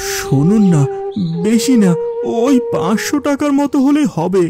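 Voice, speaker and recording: A man voicing high-pitched, humble old village father. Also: sing-song, a little slow. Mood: pleading. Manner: deferential, beseeching. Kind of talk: cartoon folk-tale acting. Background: music.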